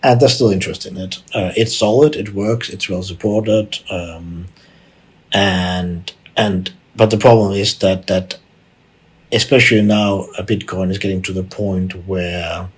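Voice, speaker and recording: A man from Denmark with a very low voice and a strongly husky, rough texture. He talks as a podcast guest in an unscripted, conversational way. He stumbles through frequent restarts and repeated words. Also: steady tone, a little slow. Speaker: podcast guest, tech executive. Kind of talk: conversational interview answers.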